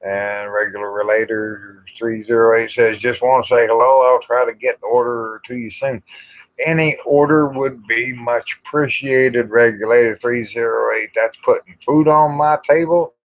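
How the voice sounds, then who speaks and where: A man's voice: mid-range voice, steady tone, normal speed. A middle-aged man, hosting a casual livestream chat in a folksy, rambling, salty-tongued way. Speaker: livestream host. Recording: dull lo-fi livestream audio.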